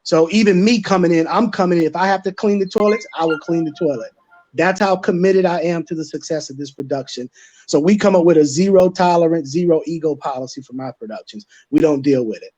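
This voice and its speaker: A man's voice: a little high, a little fast, darkish tone. A man speaking emphatically and colloquially in a panel chat as a stage producer and director, on muffled call audio.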